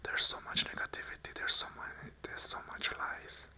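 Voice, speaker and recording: An ASMR host whispering an unscripted monologue of earnest, repeated affirmations in short phrases. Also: moderate pace. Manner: whispers the whole way, soft and unvoiced.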